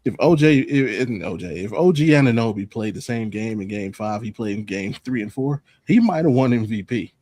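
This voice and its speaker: A man's voice: mid-range voice, lively intonation, fairly quick, hardly any pauses, warm tone. A man on a sports talk panel, casually reasoning through his opinions off the cuff in an analytical way.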